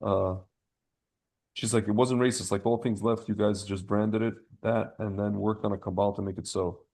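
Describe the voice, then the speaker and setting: Gravelly man in casual, rambling podcast chat. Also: lowish voice, steady tone, moderate pace, a little mellow. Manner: rambling, slangy, repeats and breaks off phrases. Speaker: podcast host.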